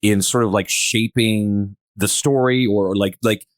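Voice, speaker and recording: A man's voice: on the low side, steady tone, unhurried, no room sound, clean studio sound. A man posing questions in a casual podcast discussion, rambling and circling toward his point.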